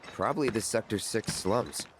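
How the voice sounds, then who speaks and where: Man playing a terse low-voiced young mercenary. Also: moderate pace, background noise, natural voice. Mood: curt, cool. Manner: curt, matter-of-fact. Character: terse, cool young male mercenary adventurer. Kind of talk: video game cutscene acting.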